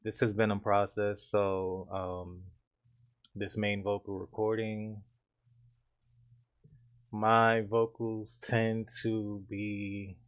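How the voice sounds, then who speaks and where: A man with a deep voice calmly walking through his home studio mixing setup in a casual tutorial. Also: very slow, long pauses. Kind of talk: casual screen-share tutorial.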